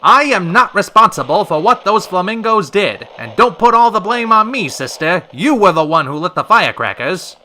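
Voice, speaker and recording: An actor playing a sardonic, easily flustered 1930s radio call-in host with wry, old-fashioned slangy patter. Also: male voice, high-pitched, very animated, normal speed, few pauses, pushed and bright, slight background noise, heavily acted. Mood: exasperated. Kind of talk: old-time radio drama acting.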